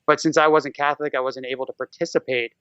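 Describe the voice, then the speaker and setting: A man's voice: medium pitch, steady tone, quick pace, fairly bright tone, smooth, no room sound. A man talking casually as a podcast guest, telling stories in a whimsical, irreverent way. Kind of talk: casual humorous chat and storytelling. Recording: slightly dull, muffled lo-fi audio.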